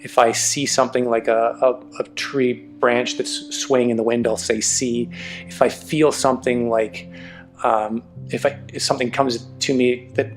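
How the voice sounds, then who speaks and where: A man hosting a podcast interview, enthusiastic and encouraging, often answering with quick affirmations. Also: mid-range voice, little pitch movement, faint music behind. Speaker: podcast host. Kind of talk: conversational interview.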